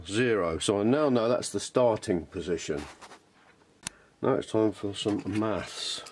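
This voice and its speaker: Low-voiced British man, chatty workshop demo. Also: a little mellow. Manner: rambling, self-deprecating, chatty. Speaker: hobbyist maker presenting a workshop tutorial. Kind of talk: casual unscripted how-to demonstration. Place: home workshop indoors. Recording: clear background noise and clicks in a workshop.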